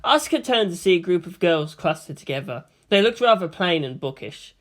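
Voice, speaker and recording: A woman reading a story aloud as narrator, in an expressive, dramatic style. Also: fairly low pitch, fairly quick.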